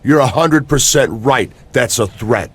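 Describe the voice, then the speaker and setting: A man's voice: medium pitch, animated, measured pace, slightly rough. An actor playing an arrogant, menacing male champion wrestler in a video game cutscene, haughty and threatening. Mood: disdainful.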